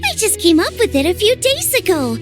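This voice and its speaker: A woman's voice: extremely high pitch, very animated, fairly quick. A woman voicing a cheerful young female restaurant chef who talks in a bubbly, upbeat way. It is game dialogue with music behind it. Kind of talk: game voice acting.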